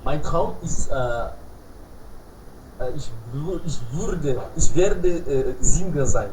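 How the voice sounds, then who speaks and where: A man learning German, an accountant by trade, speaking haltingly with many fillers and self-corrections on a video call. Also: fairly high pitch, lively intonation. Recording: echoey, distant call audio with clear noise.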